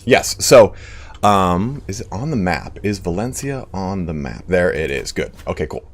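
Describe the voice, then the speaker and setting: Hoarse low-voiced male streamer. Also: expressive, measured pace. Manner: rambling, profane, reads donations aloud. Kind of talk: casual live-stream history talk.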